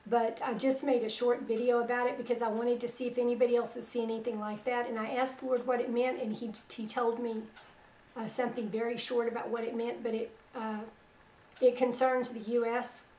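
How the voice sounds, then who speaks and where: A middle-aged woman hosting religious internet radio. Her voice is weak after a stroke, and she talks in a soft, plain-spoken, unhurried way. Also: a little high, little pitch movement, roomy sound, recorded from a distance, a little hiss or hum, rough recording. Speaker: radio host. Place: small room at home, indoors. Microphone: headset.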